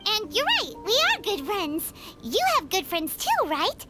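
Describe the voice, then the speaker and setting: A woman voicing a tiny, cheeky, childlike fairy companion in a video game, with a squeaky, exclamatory delivery. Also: huge pitch swings, measured pace, over loud music, heavily acted. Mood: miffed, then friendly. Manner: speaks of herself in third person, exclamatory. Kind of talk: cartoonish video game voice acting.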